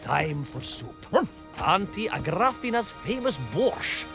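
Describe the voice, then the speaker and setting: An actor playing a bumbling, boastful but kindly Soviet cosmonaut, telling tall tales for a game, dramatic and rambling. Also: male voice, high-pitched, very animated, takes it slowly, gravelly, music in the background, exaggerated voice. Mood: chatty, fond. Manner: dramatic, rambling, full of hesitant asides. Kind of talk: theatrical storytelling game voice acting.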